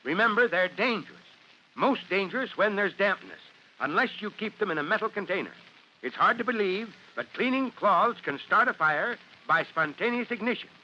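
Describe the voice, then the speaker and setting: A man's voice: high-pitched, expressive, moderate pace, bright, forceful tone, full character voice. A man playing a confident, fatherly fire chief in an old radio drama, speaking with emphatic assurance. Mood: instructive. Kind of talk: 1950s radio drama acting. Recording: muffled old radio recording, faint noise.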